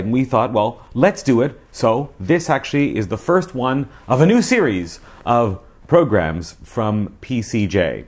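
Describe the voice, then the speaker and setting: A man's voice: medium pitch, a little mellow. A man in his forties hosting a casual review to camera in a chatty, emphatic presenter style. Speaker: host of a radio review show. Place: small living room, indoors. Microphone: lapel mic.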